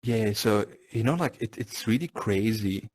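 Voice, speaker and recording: Young man, low voice. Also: lively intonation, unhurried, a little mellow. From Syria. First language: Arabic. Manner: earnest, leans on "you know" and "like". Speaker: guest. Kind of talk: casual conversation.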